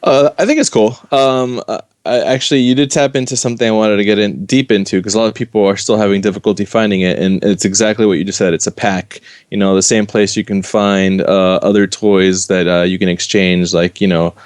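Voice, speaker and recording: Laid-back low-voiced man in casual chat. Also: a little fast, few pauses, smooth, dry recording. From Los Angeles. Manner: relaxed, repeats words, fillers like "you know". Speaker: podcast co-host.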